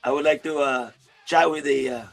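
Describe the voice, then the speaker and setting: A man's voice: on the high side, fairly even intonation, moderate pace, creaky, a bit bright, soft background music. A man hosting a casual interview over a video call, friendly and rambling, laughing often. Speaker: YouTube host, interviewer.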